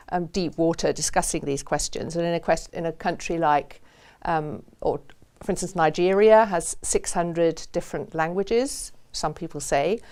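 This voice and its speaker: A middle-aged woman speaks on a studio panel in a slightly low voice. She explains at length, pausing with frequent ums as she thinks. The lapel mic keeps her close and clean. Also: a little mellow, clear, resonant tone. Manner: thoughtful, frequent um pauses and restarts. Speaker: academic panelist. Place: studio with balloons.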